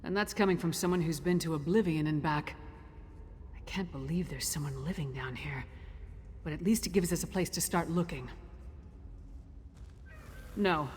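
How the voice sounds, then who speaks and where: A woman's voice: on the low side, close to an everyday voice. Woman acting blunt warrior woman in cavernous reverb. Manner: blunt, brash, commanding. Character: bold, battle-hardened warrior woman, confident and wary. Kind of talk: video game voice acting. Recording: long cavernous reverb, faint background noise.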